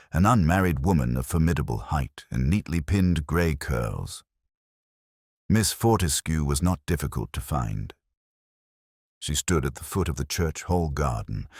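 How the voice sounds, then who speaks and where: A man narrates a scripted detective story in a very deep voice. His delivery is lively in pitch, and the sound is dry and close. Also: breathy edge, no echo. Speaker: audiobook-style narrator.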